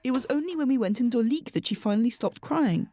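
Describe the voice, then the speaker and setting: A woman's voice: a little high, lively intonation, quick pace, dark tone, no echo, studio quality, soft background music. An actress playing a weary, firm mother of a difficult child in a radio drama.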